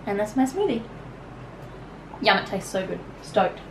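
A woman's voice: medium pitch, big pitch swings, measured pace, slight background noise, rough recording. Chatty Australian woman vlogging to camera. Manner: chatty, upbeat, rambling with fillers. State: out of breath after a workout. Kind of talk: casual vlog chat. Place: home kitchen and bedroom, also a gym. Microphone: handheld camera at arm's length.

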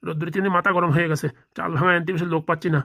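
Female voice actor as a grumbling, distrustful old grandfather in a comedy cartoon; quick, low, dry. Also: little pitch movement, gravelly, fairly bright tone, no room sound. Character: grumpy suspicious old village grandfather. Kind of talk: comic cartoon voice acting.

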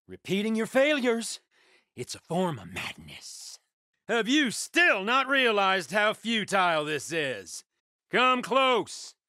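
A man's voice: high voice, dry recording, clean studio sound, exaggerated voice. An actor playing a sadistic, gloating male villain in a video game, taunting his prey with menacing glee. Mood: mocking, aggressive. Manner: taunting, gleeful, menacing, swinging from murmurs to shouts. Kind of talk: video game combat voice lines.

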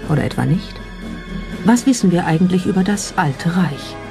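A woman's voice: fairly low pitch, expressive, normal speed. A woman reading scripted documentary narration with a dramatic, rhetorical delivery. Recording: music bed under the voice. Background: background music.

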